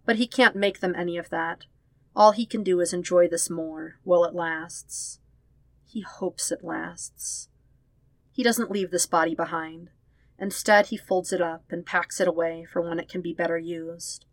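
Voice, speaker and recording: A woman narrating dramatic fan fiction as an audiobook, with expressive, measured storytelling. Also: lowish voice, no echo.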